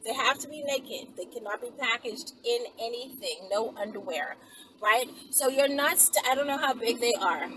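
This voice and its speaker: A woman in her thirties giving casual, conversational instructions to camera, explaining step by step with frequent check-in questions. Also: fairly high pitch. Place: inside a car, daytime. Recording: distant mic, loud vehicle and road noise. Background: vehicle ambience.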